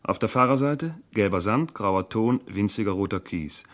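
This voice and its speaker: Low-voiced, methodical police inspector. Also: male voice, lively intonation, moderate pace, fairly bright tone. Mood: factual, methodical. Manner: precise, matter-of-fact, itemising. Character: diligent, methodical police inspector reporting to his chief. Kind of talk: German radio crime drama acting.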